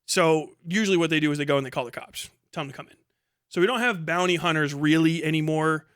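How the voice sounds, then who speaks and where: Bright-voiced man, close and dry, talking casually. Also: a little high, fast talker, clean tone, no echo. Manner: informal, heavily profane. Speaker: streamer commenting on a video. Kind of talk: casual rambling explanatory commentary.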